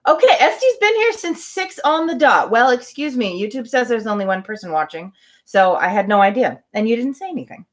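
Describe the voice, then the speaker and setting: Animated woman reading aloud. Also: on the high side, a little fast. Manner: chatty, wry commentary between passages. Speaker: host of a live-streamed novel reading. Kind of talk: reading aloud with casual asides.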